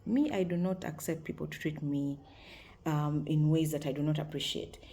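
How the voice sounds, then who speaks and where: Deep-voiced frank woman in her forties. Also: steady tone, normal speed, dark tone. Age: 40s. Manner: frank, upbeat, laughs often. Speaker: vlogger. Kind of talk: casual talk to camera.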